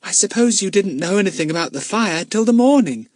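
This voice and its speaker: A man's voice: high-pitched, lively intonation, a little fast, dry recording, pitched above the performer's own voice. A man voicing an eager, inquisitive young girl detective, earnest and self-important, in a children's audiobook. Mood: probing. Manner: earnest, questioning. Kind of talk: acted children's audiobook dialogue.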